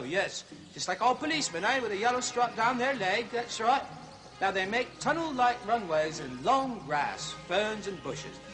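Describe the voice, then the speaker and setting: A man playing a fussy, chattering comic zoo show host. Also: high-pitched. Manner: excitable, chattering, fussy showman.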